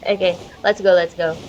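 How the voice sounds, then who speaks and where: A woman hosting a gaming channel, chatting casually and excitably to viewers and sometimes to herself. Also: mid-range voice, a little slow, a little hiss or hum. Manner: informal, excitable, talks to herself. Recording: dull lo-fi mic over game music. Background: game music.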